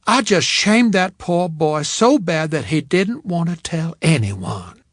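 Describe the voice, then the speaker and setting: A man's voice: on the high side, expressive, takes it slowly, dry recording, pitched above the performer's own voice, full character voice. A man voicing a frank, wry young Southern woman, with a faint Southern accent, in an audiobook reading. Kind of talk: audiobook character voice.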